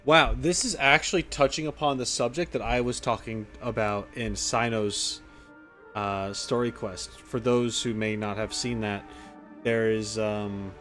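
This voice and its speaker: A man commentating on a game playthrough as a streamer, casual and blunt, rambling and halting as he thinks aloud. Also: fairly low pitch, steady tone, fairly bright tone. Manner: rambling, halting when reflecting, blunt and profane. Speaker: gaming streamer. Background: game music.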